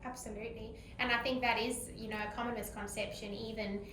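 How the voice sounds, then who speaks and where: A woman's voice: a little high, little pitch movement, brisk. A woman interviewing in a conversational, affirming and encouraging way. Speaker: interviewer, speech pathologist. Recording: echoey room, faint background noise.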